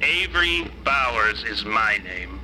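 A man's voice: lowish voice, big pitch swings, a little slow, sharp tone, a little hiss or hum, full character voice, low-quality recording. A man voicing the bitter, grandiose ghost of a disgraced male scholar in a tabletop game. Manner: grandiose, theatrical, indignant wording. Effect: voice through a ghost-box speaker.

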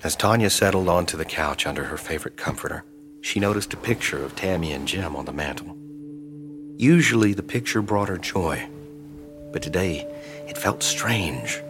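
An actor playing the ominous narrator of a supernatural horror audio drama, speaking in a measured, suspenseful, hushed way. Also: male voice, deep voice, expressive. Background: music.

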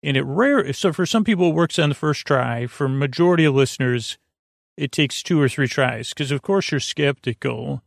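A man with a creaky voice hosting a sleep podcast, rambling in a soothing, halting way and stammering over repeated words. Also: mid-range voice, lively intonation, no echo, studio quality. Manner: soothing, lulling. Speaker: podcast host. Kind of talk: rambling bedtime monologue to lull listeners to sleep.

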